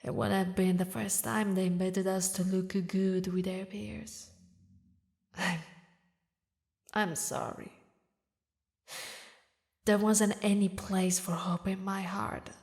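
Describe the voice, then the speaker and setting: A woman voicing a grieving, vengeful demon queen who is tender toward her lost lover, speaking dramatically and haltingly in a romantic audio roleplay, at times in a breathy whisper. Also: mid-range voice, flat delivery, darkish tone. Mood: soft, regretful.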